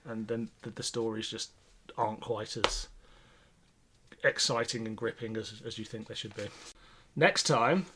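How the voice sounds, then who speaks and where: A man's voice: lowish voice, unhurried, long pauses, fairly bright tone, slight background noise. Man hosting a casual podcast discussion. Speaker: podcast host.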